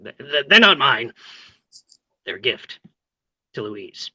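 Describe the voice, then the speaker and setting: A woman's voice: a bass voice, sing-song, takes it slowly, pushed and bright, husky, no echo, muffled recording, heavily acted. A woman playing a flustered, love-hungry neighbour of forty-two in a play, hesitant and theatrically torn. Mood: flustered, secretive. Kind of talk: play table read.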